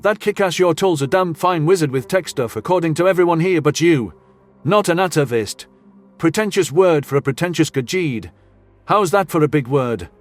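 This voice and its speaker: Man acting a brash, higher-voiced human officer. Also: animated, normal speed, soft background music, lightly acted. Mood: indignant. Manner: blunt, slangy, swearing. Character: brash, indignant human male commanding officer, casual and sarcastic.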